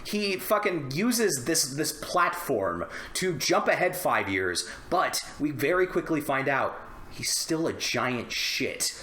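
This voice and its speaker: Male podcast talker, bright forceful tone, very lively delivery, crude humor, loose rambling chat. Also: fairly high pitch, slow, a little hiss or hum. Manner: profane, rambling, joking. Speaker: podcast host discussing films. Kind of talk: casual joking podcast chat. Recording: roomy, echoey room.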